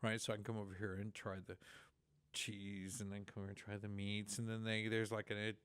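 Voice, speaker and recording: A man's voice: lowish voice, level tone, brisk, a bit bright, clear, resonant tone, dry recording. Rambling Texan man hosting radio. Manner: rambling, many restarts and fillers. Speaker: radio show host. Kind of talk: casual radio talk show chat. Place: radio studio.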